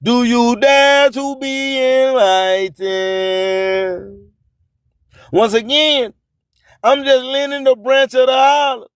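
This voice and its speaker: A man's voice: very high-pitched, fairly even intonation, slow, clean tone, no room sound, clean studio sound. A man announces a radio show in a boastful, theatrical manner, repeating phrases for effect. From US Gulf Coast. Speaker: radio host. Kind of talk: hype radio station announcing over music.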